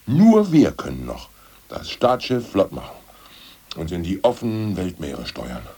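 An actor playing a pompous, scheming ousted party official in a 1980s radio play, talking in a grand, conspiratorial way. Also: male voice, low voice, huge pitch swings, a little slow, warm tone, breathy edge, full character voice.

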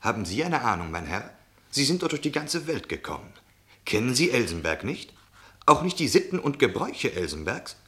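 A man's voice: mid-range voice, big pitch swings, moderate pace, bright, forceful tone. An actor playing a talkative, nosy, self-important travelling salesman in a German radio play. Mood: nosy, musing. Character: chatty, pushy, cocksure travelling salesman in knitwear.

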